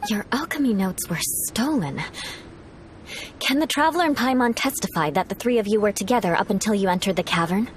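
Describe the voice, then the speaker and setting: A woman's voice: medium pitch, animated, fairly quick, slight background noise, natural voice. A woman voicing a haughty, forthright young knight in a video game, formal, imperious and sternly suspicious. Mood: skeptical. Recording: faint music behind the voice.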